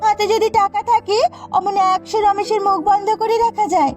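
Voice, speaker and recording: A woman voicing a brash, scheming young village woman in a cartoon, cheeky and sharp-tongued with defiant delivery. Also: very high-pitched, little pitch movement, fairly quick. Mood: defiant, boastful. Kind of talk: cartoon dialogue. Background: faint music.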